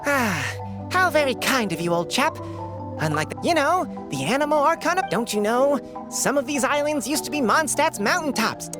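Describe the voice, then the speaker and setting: A woman's voice: high-pitched, big pitch swings, moderate pace. A woman voicing a polite, chatty little island animal in a video game, rambling in genteel old-fashioned phrases. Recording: music behind the voice.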